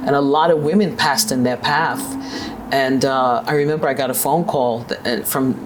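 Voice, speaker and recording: A woman's voice: steady tone, normal speed, rough recording. Woman, fifties, New York accent, assertive interview guest, faint room hum behind. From New York, USA. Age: 50s. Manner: assertive, upbeat, with sudden high pitch lifts. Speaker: guest, managing director. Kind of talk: conversational interview storytelling.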